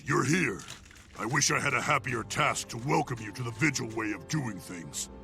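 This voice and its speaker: A grim, battle-hardened Norn crusader out for vengeance for a fantasy game. He is blunt and emphatic, with a gravelly, mid-pitched voice. Music plays clearly underneath.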